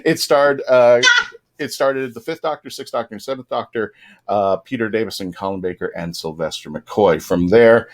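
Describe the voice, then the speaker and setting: A man's voice: on the low side, normal speed. A man hosting a fan panel, talking casually to the audience and fellow panelists. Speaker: panel host.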